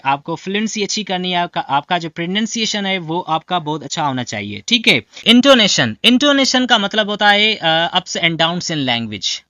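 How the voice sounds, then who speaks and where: A man's voice: high voice, sharp tone, dry recording, lo-fi sound. A man from Pakistan, teaching English in a lecture style, mixing languages and often checking in with his listeners. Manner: mixes Hindi-Urdu and English, frequent fillers and "theek hai?" check-ins.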